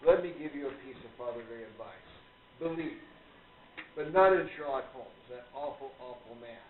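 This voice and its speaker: A man's voice: medium pitch, lively intonation, moderate pace, narrow, tinny audio, natural voice. An actor playing a dignified, fatherly older writer in a radio play, speaking formally, with emphatic reproach. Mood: wounded reproach. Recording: roomy amateur recording with hiss.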